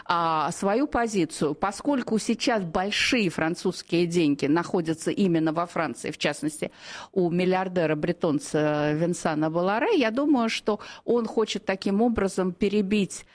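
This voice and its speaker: A woman's voice: fairly low pitch, moderate pace. A middle-aged woman from Russia, a journalist giving heated, opinionated answers on a radio show, with frequent fillers and self-corrections.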